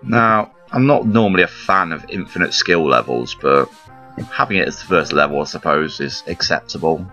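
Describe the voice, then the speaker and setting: A man casually commentating on a puzzle game as he plays, rambling and poking fun at himself, his voice croaky today. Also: very deep voice, expressive, moderate pace, pushed and bright. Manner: rambling, self-deprecating, chuckles often. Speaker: gameplay commentator. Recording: slightly dull lo-fi recording with game music behind.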